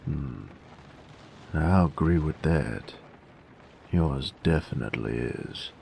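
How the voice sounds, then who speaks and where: Casting: gruff, jaded bandit drifter, played by a male actor, extremely low and heavily creaky, sardonic folksy drawl, for roleplay audio drama. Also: expressive, takes it slowly, darkish tone, a little hiss or hum, full character voice. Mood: exasperated. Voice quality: gravelly, very low voice.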